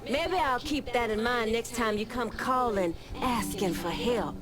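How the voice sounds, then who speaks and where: A woman's voice: a little high, unhurried, music in the background, natural voice. A woman playing a proud, combative gang leader in a video game cutscene, blunt and confrontational. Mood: angry, indignant.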